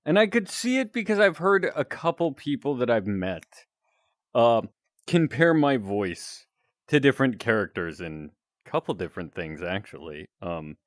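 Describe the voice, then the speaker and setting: A man with a deep, announcer-like voice, chatting casually with viewers while he plays a game. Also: moderate pace, dry recording, studio quality. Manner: relaxed, rambling, chatting to viewers. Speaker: streamer.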